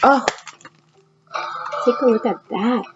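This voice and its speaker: A woman teacher reading a picture book aloud expressively, pausing to prompt young listeners with questions. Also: fairly high pitch, muffled recording, background noise. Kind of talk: storybook read-aloud with questions to children.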